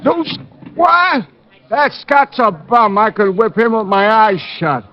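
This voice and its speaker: A boastful prizefighter who is slow on the uptake. His American speech is slangy and ungrammatical, pitched high for a man. It comes through as a muffled old radio detective play. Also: expressive. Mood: defensive. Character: dim, boastful prizefighter, slow-witted palooka. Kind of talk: old-time radio detective drama acting.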